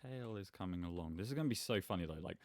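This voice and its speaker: A man's voice: deep voice, expressive, fast talker. A man giving casual, rambling gameplay commentary as host, with a self-deprecating manner. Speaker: gaming video host.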